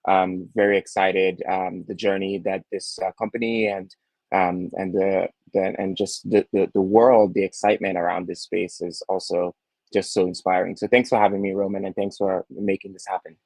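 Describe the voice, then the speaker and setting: A man's voice: deep voice, level tone, normal speed, darkish tone, dry recording, low-quality recording. A young man from Nigeria, a startup founder, talking earnestly as a guest with many fillers and restarts. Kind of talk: casual interview talk.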